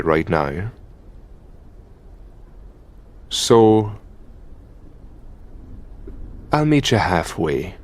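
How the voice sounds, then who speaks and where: A man with an Irish accent playing a possessive, taunting demon lover in an intimate, gravelly ASMR roleplay. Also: sits low. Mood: teasing, seductive. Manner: commanding, foul-mouthed, taunting, drawn-out delivery. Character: possessive, menacing, teasing male high demon of lust.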